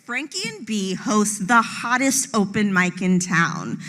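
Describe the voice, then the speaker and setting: A woman's voice: medium pitch, very animated, slow, a bit bright, soft background music. A woman announcer reading a scripted promotional narration for a series.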